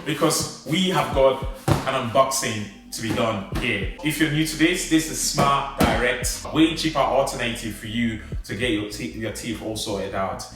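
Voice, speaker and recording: A chatty, excitable man talks casually through an unboxing, thinking aloud as he goes. His voice sits at an average pitch for a man. It is recorded from a distance in a strongly echoing room. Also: moderate pace, over music. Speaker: vlogger. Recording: echoey room, mic at a distance.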